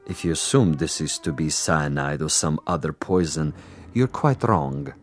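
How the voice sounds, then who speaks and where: An actor playing a suave, boastful assassin commissar in a radio drama, with pompous, precise and silkily polite diction. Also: male voice, a bass voice, expressive, measured pace, faint music behind. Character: suave, boastful male assassin commissar, coolly menacing connoisseur.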